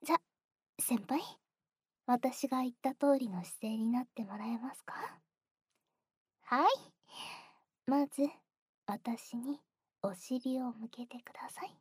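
Actress as a teasing young junior: slow, husky whispers and giggles, fairly high voice. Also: female voice, dry recording, clean studio sound, heavily acted. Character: teasing playful young woman, a junior to the listener. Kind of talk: whispered ASMR roleplay.

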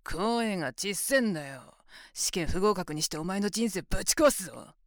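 Woman doing a cruel female examiner, low voice, breathy whisper, mocking, bossy, slow. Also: darkish tone, no echo, exaggerated voice. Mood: harsh commanding. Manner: taunting, commanding, mostly whispered close to the ear. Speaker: voice actor in an audio roleplay. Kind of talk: whispered ASMR roleplay.

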